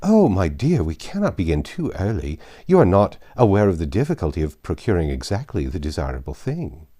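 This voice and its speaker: A man's voice: low voice, wildly swooping pitch, fairly quick, dark tone, heavily acted. A man voicing an officious, self-important married woman in a classic novel reading, pompous, gushing and patronising. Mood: pushy, fussing.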